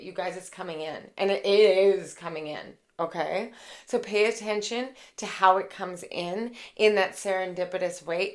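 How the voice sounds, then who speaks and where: A woman with a raspy voice giving a casual, chatty tarot reading, full of fillers and warm asides to her viewers. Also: medium pitch. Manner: gushing, chatty, many fillers like "like" and "okay". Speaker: tarot reader and intuitive.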